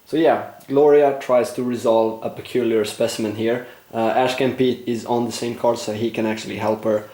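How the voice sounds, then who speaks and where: A man narrating a board game play-through, explaining rules and thinking aloud with frequent fillers, his voice going slightly hoarse. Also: medium pitch, fairly even intonation, moderate pace, hardly any pauses, mellow, echoey room. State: losing his voice, clearing throat. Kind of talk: casual gameplay commentary.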